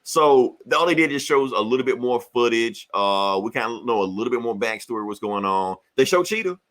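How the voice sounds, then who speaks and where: Animated, profane man hosting a podcast. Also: medium pitch, fairly quick. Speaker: podcast host. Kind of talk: casual pop-culture podcast chat.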